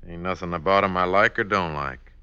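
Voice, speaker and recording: Deep-voiced, menacing Old West outlaw. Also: male voice, sharp tone, clear, resonant tone. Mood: dry, menacing. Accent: American. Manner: laconic, unhurried, commanding. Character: cool, menacing male outlaw gang leader. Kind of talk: old-time radio western acting. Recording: dull vintage radio recording.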